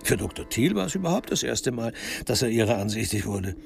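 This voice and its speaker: A man narrating a literary crime radio drama in a measured, precisely articulated way. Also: medium pitch, sing-song, vocal fry, soft background music.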